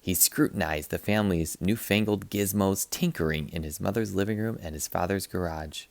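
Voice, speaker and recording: A man reading a children's picture book aloud as a teacher, with animated, dramatic emphasis. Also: deep voice, moderate pace, gravelly, no echo. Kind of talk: expressive children's read-aloud narration.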